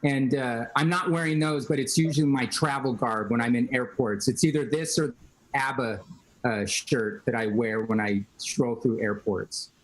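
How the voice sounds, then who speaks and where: A male guitarist, a guest on a podcast, answering in a relaxed, matter-of-fact way. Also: mid-range voice, normal speed, poor audio. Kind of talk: casual interview chat.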